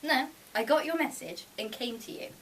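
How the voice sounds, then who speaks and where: A woman's voice: high-pitched, animated, moderate pace, fairly bright tone, pitched above the performer's own voice. A woman voicing a casual, matter-of-fact man who is Death, in a live story reading. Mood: calm, honest. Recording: distant mic, noisy room ambience.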